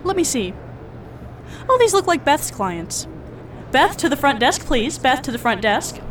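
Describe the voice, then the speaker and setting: A woman playing a brisk, folksy, matter-of-fact receptionist at a care center in an audio drama. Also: sing-song. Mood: brisk, helpful. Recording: clear background rumble and noise.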